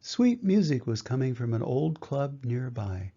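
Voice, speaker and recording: Mellow older man reading a storybook, muffled sound. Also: medium pitch, sing-song, measured pace, breathy edge. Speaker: grandfather reading a picture book aloud. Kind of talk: storybook narration for children.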